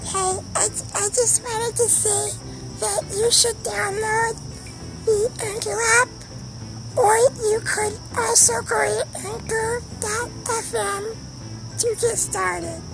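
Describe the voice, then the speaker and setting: A performer voicing a small, cutesy, slow-talking character in a podcast skit. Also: very high-pitched, fairly even intonation, creaky, loud music behind the voice, heavily acted, rough recording. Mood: sweet, halting.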